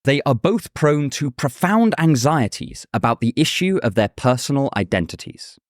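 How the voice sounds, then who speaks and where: A man narrating a scripted video essay in a measured, thoughtful way. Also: medium pitch, animated, no room sound, clean studio sound. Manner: measured, thoughtful, with a casual aside.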